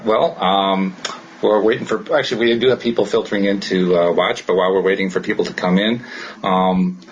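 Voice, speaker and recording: A man hosting a podcast in casual, unscripted chat, with an enthusiastic, excitable manner that tends to speed up. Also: fairly low pitch, breathy edge, rough recording. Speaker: podcast host.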